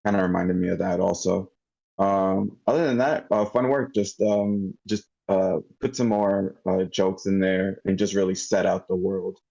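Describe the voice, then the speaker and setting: A man giving casual spoken critique of a script in an online meeting, halting and thinking aloud. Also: sits low, fairly even intonation, gravelly, dark tone. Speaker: script feedback participant. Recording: slightly dull online meeting audio.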